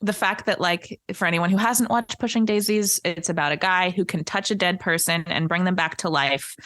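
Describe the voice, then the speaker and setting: A woman with a fairly low voice talks casually on a podcast in a dry, close recording. She is an enthusiastic storyteller who peppers her sentences with "like" and wanders into asides. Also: moderate pace, no room sound. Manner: animated storytelling with frequent "like" and asides.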